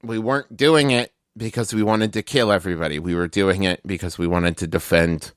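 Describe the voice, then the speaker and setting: A man with a fairly low, very smooth voice, captured close and dry. He is a tabletop player making up backstory aloud, casual and explanatory, leaning on conversational filler. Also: fairly even intonation, brisk, no echo. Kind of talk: conversational improvised character backstory.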